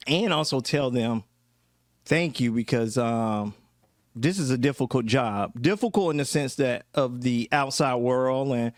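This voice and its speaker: Relaxed man hosting a family podcast. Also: medium pitch, measured pace, dry recording, studio quality. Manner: relaxed, reflective, talks with fillers and laughs. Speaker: podcast host and father. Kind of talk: casual family podcast chat. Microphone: studio microphone.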